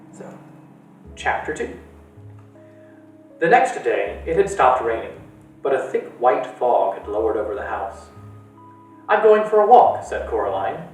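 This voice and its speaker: A man reading a storybook aloud, his voice rising and falling with lively expression. He sounds roomy and echoey, picked up from a distance with faint music in the background. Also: mid-range voice. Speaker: library storyteller.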